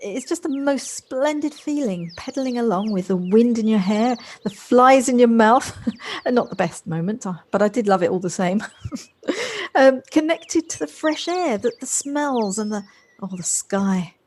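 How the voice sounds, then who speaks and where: A woman's voice: on the high side, lively intonation, normal speed, darkish tone, natural voice. A woman playing a polite, effusive, wistful character in an amateur audio drama. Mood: exhilarated. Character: Josie, wistful, polite, effusive woman rediscovering childlike freedom. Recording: faint noise, home-recorded on personal device.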